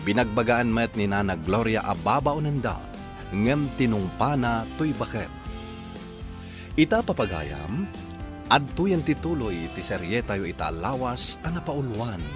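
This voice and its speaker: A man narrating and announcing an Ilocano radio drama in a theatrical, measured style. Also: medium pitch, sing-song. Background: music.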